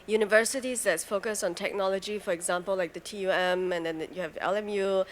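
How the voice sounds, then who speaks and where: A woman speaking as a panelist on a conference stage, measured and full of fillers. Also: mid-range voice, fairly even intonation, a little hiss or hum. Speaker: panelist, program director.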